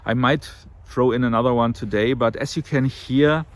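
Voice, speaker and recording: A man's voice: medium pitch, fairly even intonation, moderate pace. A man talking casually to camera in a weight loss vlog, slightly nasal from an allergy and rambling with many fillers and self-corrections. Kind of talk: casual monthly vlog talk.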